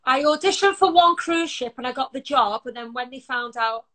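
A woman in her thirties with a husky but bright, high voice chats as an interview guest over a phone held close to her face. She tells her stories casually and laughs her way through them. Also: brisk. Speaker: guest, pop singer. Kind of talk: casual video-call interview. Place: room indoors at home.

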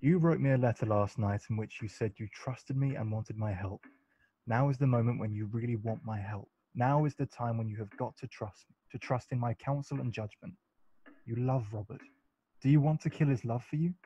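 An actor playing a witty, urbane English gentleman with a measured, articulate delivery in a radio play. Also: medium pitch, husky, highs cut off. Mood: earnest persuading.

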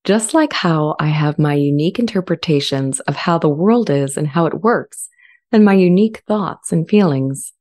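Low, mellow female AI played by an actress. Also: lively intonation. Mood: earnest, measured. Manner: measured, articulate, earnest. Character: earnest, friendly, self-aware artificial intelligence language model. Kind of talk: scripted transcript dramatization. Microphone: studio condenser mic with pop filter, close.

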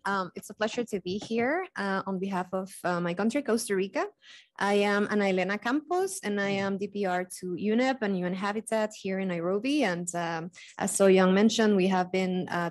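A woman diplomat introduces herself at a mid pitch, polite and measured. Her voice is picked up dry and close, with little of the room's echo. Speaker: diplomat on a panel. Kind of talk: formal self-introduction. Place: large conference room.

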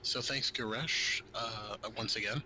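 Low-voiced man over clear background noise. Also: fairly even intonation, unhurried, sharp tone, low-quality recording. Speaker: co-host reading game card translations. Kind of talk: casual gaming news chat, reading out stats.

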